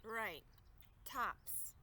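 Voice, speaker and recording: Casting: female reader as wily male hare, storybook trickster; high, bright, emphatic, coaxing. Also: expressive, extremely slow, slight background noise, heavily acted. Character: clever, scheming male hare, a persuasive trickster neighbor. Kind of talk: storybook read-aloud character voice.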